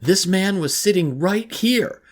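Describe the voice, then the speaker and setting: A man's voice: on the low side, animated, normal speed, slightly rough, pitched above the performer's own voice. Haunted schoolgirl, frightened and pleading, voice pushed well up by a male narrator, for a horror story. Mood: panicked. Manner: pleading, emphatic, agitated. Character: frightened, distraught teenage schoolgirl haunted by nightmares.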